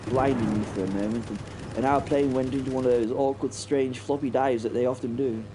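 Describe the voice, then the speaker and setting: An actor playing a haunted but plucky biplane pilot, telling his story in a halting, wryly understated horror audio drama monologue. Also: medium pitch, background noise, natural voice. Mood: shaken, grim. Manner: halting, repeating words, wry understatement. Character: British First World War biplane pilot, haunted, plucky, recounting battle.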